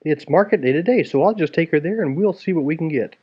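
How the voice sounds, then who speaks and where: A man's voice: a little high, lively intonation, fast, slightly rough, pitched above the performer's own voice. A man voicing a cheerful, boastful young boy in a storybook, confident and polite. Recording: dull lo-fi home recording.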